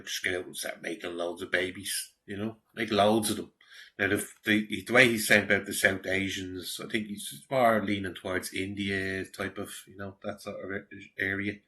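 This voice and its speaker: Irish man, low and forceful, blunt conversational commentator. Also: flat delivery, moderate pace. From Ireland. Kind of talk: casual opinion commentary.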